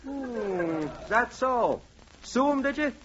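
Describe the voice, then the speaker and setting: An actor playing a chatty, nosy, pushy barber in a 1940s radio comedy. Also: male voice, very high voice, animated, takes it slowly, fairly bright tone, slight background noise, heavily acted. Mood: chatty, glib. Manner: talkative, glib, pushy small talk. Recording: muffled 1940s radio recording.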